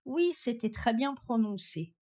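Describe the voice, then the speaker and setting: Woman coach, bit higher pitched, direct and critical, asking pointed questions. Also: expressive, a little slow, dark tone. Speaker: pronunciation coach testing a voice assistant. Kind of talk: spoken demonstration, questioning.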